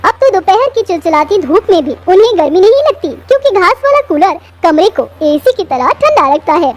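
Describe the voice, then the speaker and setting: Very high-pitched female story narrator. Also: big pitch swings, moderate pace. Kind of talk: scripted children's story narration in Hindi.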